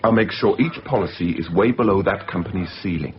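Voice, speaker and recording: A man's voice: deep voice, brisk, a crowd in the background, lightly acted. An actor in a radio drama playing a casual but insistent, scheming man obsessed with films. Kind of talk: 1990s radio drama acting. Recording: muffled, noisy radio drama recording.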